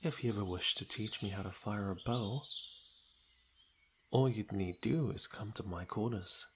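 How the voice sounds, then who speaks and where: A man acting a playful, flirtatious fantasy lord in an intimate ASMR roleplay, with breathy murmurs and birdsong effects behind him. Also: lowish voice, unhurried. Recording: clear background noise with birdsong effects. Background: birdsong and outdoor nature sound effects.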